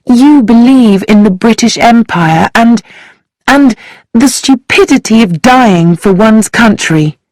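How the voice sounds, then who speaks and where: A woman voicing a bitter, defiant young woman in a storybook, pitching up for her scornful, passionate outbursts. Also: lively intonation, takes it slowly, a little mellow, no room sound, rough recording.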